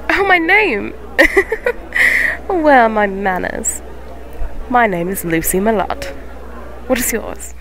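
A woman playing a gentle, motherly young cat witch who keeps a tavern, speaking softly and formally in an ASMR fantasy roleplay. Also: a little high, heavily acted, rough recording. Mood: friendly, curious. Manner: soft-spoken, formal, unhurried phrasing. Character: gentle eighteen-year-old neko witch tavern keeper, motherly, polite.

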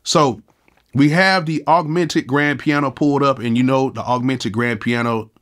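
A man in his thirties with a cold and a nasal voice, casually walking through music software in a laid-back, slangy way from his home studio. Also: mid-range voice, steady tone, normal speed. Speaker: music producer and YouTube host.

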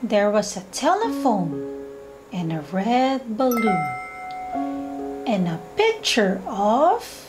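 A woman teaching young children, talking in a slow, sing-song, encouraging way and reading a picture book aloud. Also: mid-range voice, sing-song, over music, rough recording. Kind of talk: children's lesson and storybook reading.

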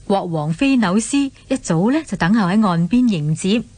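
Hong Kong woman narrating with medium pitch. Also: lively intonation, moderate pace, mellow, no echo. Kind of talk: dramatic storytelling narration.

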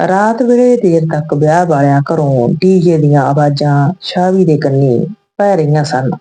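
Low, mellow woman storyteller. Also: low-quality recording. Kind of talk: scripted narration of an emotional moral story.

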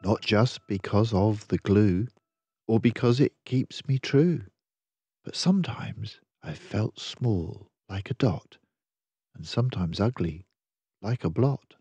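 Slow-paced older man reading to children. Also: lowish voice, warm tone, slightly rough, no echo. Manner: measured, deliberate, rhyming delivery. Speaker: storyteller reading a children's picture book. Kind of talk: expressive scripted read-aloud for children.

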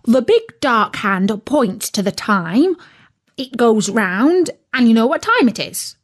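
A woman's voice: a little high, very animated, measured pace. A woman doing a cross, hungry turkey. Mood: indignant. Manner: fussy, indignant complaining. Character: impatient hungry male turkey, squawking and cross.

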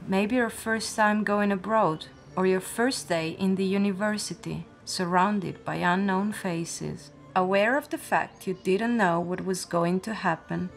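A woman in her late twenties from Bosnia with a Bosnian accent, narrating a scripted documentary in a reflective, measured manner. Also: mid-range voice, creaky. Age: late 20s. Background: music.

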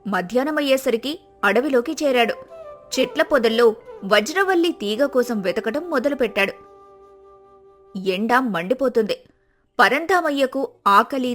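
A woman's voice: fairly high pitch, expressive, normal speed, long pauses, soft background music. A woman narrator reading a scripted moral story.

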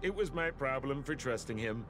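An actor playing a smug, taunting trickster in a video game, speaking with theatrical, mocking emphasis. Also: male voice, medium pitch, expressive, moderate pace, music in the background, close to an everyday voice. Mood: scornful.

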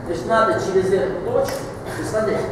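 A man's voice: high voice, fast. An older man teaching at a blackboard, explaining step by step in an instructive way, with blunt corrections and short commands. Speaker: mathematics teacher. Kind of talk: explaining a geometry problem at the blackboard. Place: classroom with a large blackboard. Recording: echoey hall, distant mic, noisy room.

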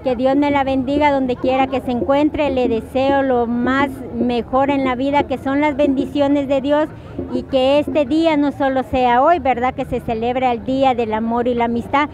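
A Guatemalan woman in her forties talking warmly in a street interview, giving thanks and sending greetings, recorded outdoors on a busy city square. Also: high-pitched, little pitch movement, normal speed, noisy background. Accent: Guatemalan Spanish. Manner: warm, grateful, sending blessings and greetings. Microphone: clip-on mic, phone camera close. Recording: slightly dull sound, loud crowd behind. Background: crowd chatter.

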